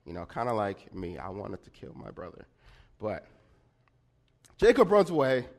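A man telling a personal story in a sermon, with a slightly nasal voice and animated delivery, often checking in with his listeners. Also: moderate pace, gravelly. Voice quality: slightly nasal and deeper than usual. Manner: animated storyteller with frequent "right?" check-ins. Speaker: preacher.

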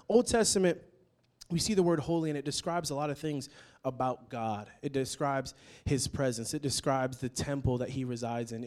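A young man preaching a casual, animated sermon, joking with his listeners as he explains a word from the Bible. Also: medium pitch. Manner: conversational and animated, jokes with the crowd.

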